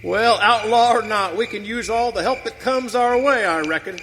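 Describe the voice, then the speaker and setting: A steady leader of a frontier wagon train in a radio play. His very high, bright voice carries a rustic American accent. He speaks in folksy, plain terms, with hall reverb around him. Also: normal speed. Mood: resigned. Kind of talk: live western radio theater acting. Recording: reverberant hall, faint background noise.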